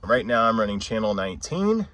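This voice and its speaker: A man's voice: on the low side, big pitch swings, a little fast, a bit bright. A man hosting a casual product review vlog in an enthusiastic way. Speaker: video host reviewing gear.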